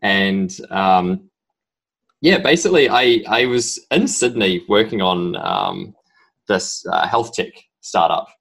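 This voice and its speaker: A young man with a strong New Zealand accent and a very low, deep voice. He speaks as a podcast guest in relaxed conversation, stopping and restarting often and padding his story with ums. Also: gravelly. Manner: halting, rambling storytelling full of ums. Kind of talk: casual interview talk.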